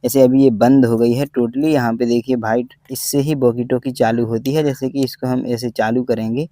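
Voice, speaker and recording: A man's voice: mid-range voice, steady tone, normal speed, mellow, dry recording. A man giving a plain, step-by-step explainer to viewers as a vlog host. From India. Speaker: host, railway pointsman vlogger.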